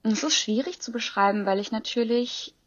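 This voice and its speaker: A woman's voice: fairly high pitch, moderate pace, a bit bright, no echo. A woman in her twenties chatting in an interview, rambling with many fillers and restarts. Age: 20s. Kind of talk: casual interview chat. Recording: dull lo-fi dry sound.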